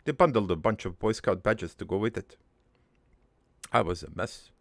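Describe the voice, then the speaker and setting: Guy doing a gruff croaky army vet prankster for a cartoon, crude, halting matter of fact storytelling, really dry sound. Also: lowish voice, expressive, no echo. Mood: somber, weary. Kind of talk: improvised in-character cartoon monologue.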